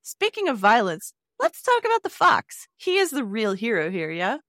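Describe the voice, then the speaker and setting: A woman's voice: fairly high pitch, wildly swooping pitch, normal speed, a bit bright, dry recording. Playful woman, close dry sound. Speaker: podcast co-host. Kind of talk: casual banter.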